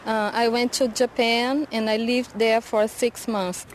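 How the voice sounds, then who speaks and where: A woman answers an interviewer at a slow, measured pace. Her voice is fairly high and a little hesitant. There is faint background noise. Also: flat delivery, low-quality recording.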